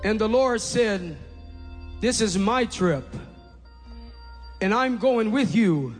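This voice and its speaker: Male preacher, Portuguese native, high pitch, fervent and shouting at times, echoing hall, faint music underneath. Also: expressive. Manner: impassioned preaching, rising to shouts; halting, non-native English phrasing. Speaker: pastor. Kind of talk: Pentecostal sermon. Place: large church hall.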